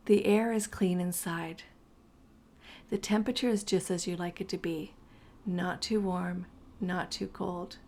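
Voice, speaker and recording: A woman narrating a guided meditation slowly and soothingly, her breathy voice often dropping toward a whisper. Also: fairly low pitch, fairly even intonation, darkish tone.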